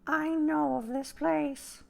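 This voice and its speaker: A man voicing a frail, ancient holy woman in a fantasy story, with a thin, creaky old voice and a solemn, slow way of speaking. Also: extremely high pitch, steady tone, a little mellow, voice pushed up, exaggerated voice. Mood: grave warning.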